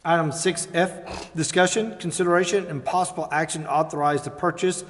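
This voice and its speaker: A man's voice: fairly high pitch, steady tone, brisk, a bit bright. A man chairing a formal meeting, reading out agenda items and procedure in a council chamber. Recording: echoey council chamber, long reverb.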